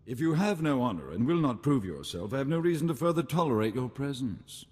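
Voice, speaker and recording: An actor playing a pompous, easily outraged aristocrat in a video game, speaking with haughty, formal condescension. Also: male voice, mid-range voice, very animated, brisk, a little mellow, husky. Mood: haughty disdain. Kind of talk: scripted video game voice acting. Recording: long hall reverb.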